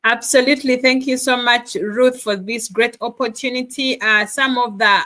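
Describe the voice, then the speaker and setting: Ugandan-born woman co-host, bright and slightly high, celebratory energy on a video call. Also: steady tone, moderate pace. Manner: enthusiastic, celebratory. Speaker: co-host, digital business mentor. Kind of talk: upbeat live-stream chat.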